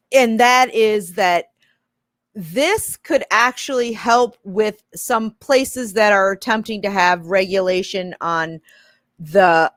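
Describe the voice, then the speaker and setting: A woman's voice: mid-range voice, fairly bright tone, no echo, studio quality. A woman on a discussion panel, arguing conversationally and hedging as she reasons through her points. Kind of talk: conversational argument.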